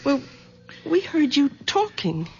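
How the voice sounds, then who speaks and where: An anxious, gentle daughter. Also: female voice, high voice, sing-song, slow pace, exaggerated voice. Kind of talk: 1940s radio acting. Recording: lo-fi old radio broadcast.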